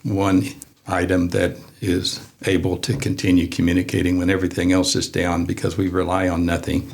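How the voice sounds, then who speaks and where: A man speaking as an interview guest, explaining in a measured, deliberate way with fairly formal wording. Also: low voice, little pitch movement, vocal fry, darkish tone. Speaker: guest, amateur radio club member.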